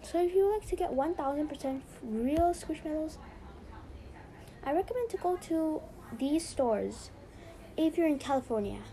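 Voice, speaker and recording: A woman's voice: sits high, expressive, normal speed, dark tone, low-quality recording. A young woman hosting a casual podcast, chatty and rambling with lots of "so yeah". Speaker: podcast host.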